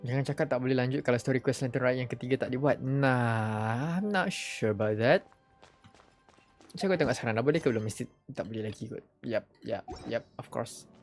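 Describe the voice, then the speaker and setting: Casting: Malaysian woman streamer, low register, casual bilingual commentary over faint game music. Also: fast talker, a little mellow. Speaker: game livestreamer. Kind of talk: casual livestream commentary, mixing Malay and English. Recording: game music playing behind the voice.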